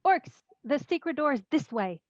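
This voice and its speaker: A woman's voice: sits high, very animated, moderate pace. A woman playing a curt, suspicious, bossy female elf in a fantasy comedy table read, on a dull microphone. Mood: wary, bossy. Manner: curt, challenging.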